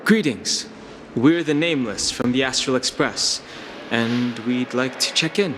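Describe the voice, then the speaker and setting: An actor voicing a reserved, composed young man in a game cutscene who speaks in a formal, measured way. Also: mid-range voice, background noise, natural voice. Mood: polite. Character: calm, reserved young male companion. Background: music.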